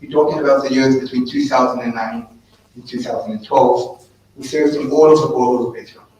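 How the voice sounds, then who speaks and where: A man's voice: mid-range voice, brisk. A man in his thirties with a South African accent, giving a personal talk as guest speaker to a live audience in an event room. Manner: earnest storytelling, animated when quoting others. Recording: echoey room, distant mic.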